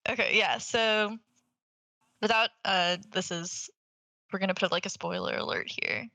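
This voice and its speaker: A woman's voice: mid-range voice, big pitch swings, measured pace, bright, forceful tone, no echo. A woman co-hosting a podcast, casually rambling through a movie review in informal language. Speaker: podcast co-host.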